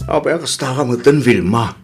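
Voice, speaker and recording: A man's voice: medium pitch, animated, moderate pace, breathy edge, faint music behind. An actor playing a lovesick jilted man who cannot move on, in a comedy radio drama. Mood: pleading, hurt.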